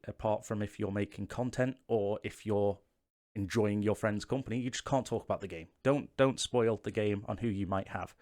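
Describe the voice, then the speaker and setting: A man's voice: mid-range voice, a little mellow. A man hosting a tutorial, explaining game rules to the viewer in a casual, chatty, self-deprecating way. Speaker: host of a board game tutorial channel. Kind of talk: casual how-to-play explanation to camera.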